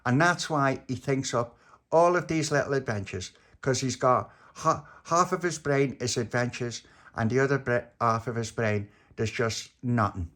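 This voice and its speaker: A man's voice: medium pitch, moderate pace, a bit bright, natural voice. A man voicing a practical, blunt young girl helping out in a storytelling. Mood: matter-of-fact. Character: brisk, matter-of-fact young girl helper.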